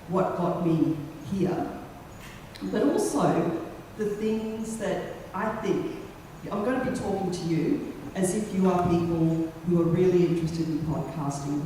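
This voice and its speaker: Middle-aged storyteller, mellow tone, echoey hall, distant mic. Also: mid-range voice, a little hiss or hum. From Western Australia and Fiji. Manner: conversational, many ums, pauses, reflective. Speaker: radio talent manager giving a talk. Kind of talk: personal storytelling talk. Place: lecture room.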